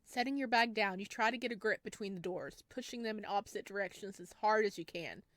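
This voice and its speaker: A woman's voice: medium pitch, fast talker, fairly bright tone, no echo. Woman reading a horror game aloud. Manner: second-person narration with asides to viewers. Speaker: gamer reading a visual novel.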